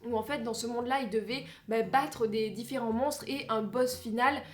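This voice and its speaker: Une femme à l'français qui parle face caméra comme une vlogueuse, de façon exclamative, joueuse et théâtrale. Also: female voice, a little high, fairly even intonation, moderate pace. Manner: exclamatory, playful, theatrical emphasis. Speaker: vlogger presenting a countdown. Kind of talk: animated casual chat to camera.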